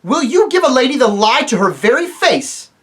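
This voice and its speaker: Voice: sits high, very animated, normal speed, pitched above the performer's own voice. A man voicing an angry young king, lofty and indignant, in a storybook reading. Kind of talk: audiobook reading.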